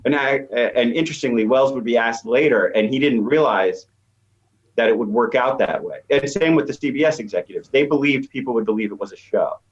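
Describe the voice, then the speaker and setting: A man's voice: mid-range voice, fairly quick. Dull lo-fi recording, male expert explaining. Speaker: expert guest, media professor. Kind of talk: conversational explanation. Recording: slightly dull, lo-fi line.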